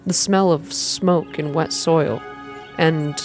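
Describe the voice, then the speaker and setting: Soft-spoken woman reading, lower-pitched. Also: steady tone, takes it slowly, soft background music. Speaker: reader of a video game story.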